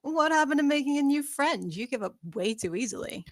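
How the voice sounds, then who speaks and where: A woman's voice: sits high, expressive, a little fast, dry recording, studio quality. A woman playing a sardonic, teasing young woman at a screenplay table read. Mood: wry, teasing.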